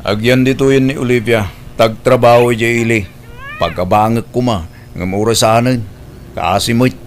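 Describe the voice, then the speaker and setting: An actor playing a defiant, domineering cheating husband in an Ilocano radio drama. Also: male voice, mid-range voice, steady tone, moderate pace, slight background noise, lightly acted. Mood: defiant, stern.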